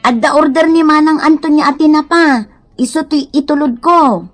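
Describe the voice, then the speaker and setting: A woman's voice: sits high, moderate pace, close to an everyday voice. A woman playing a cheerful, hard-working mother and vendor in a radio comedy drama, with an Ilocano accent. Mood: pleasantly surprised. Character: cheerful, hard-working Filipino mother selling smoked fish.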